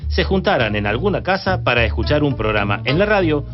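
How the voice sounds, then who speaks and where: Animated Argentine male narrator over music. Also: a little high, fairly quick. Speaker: documentary narrator. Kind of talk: scripted narration. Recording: dull band, loud music behind.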